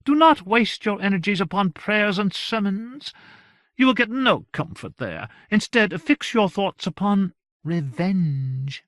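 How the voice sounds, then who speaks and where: A man voicing a fawning, effusive male gentleman who flatters and exclaims. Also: high voice, measured pace, no room sound, studio quality, pitched above the performer's own voice, full character voice. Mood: gushing.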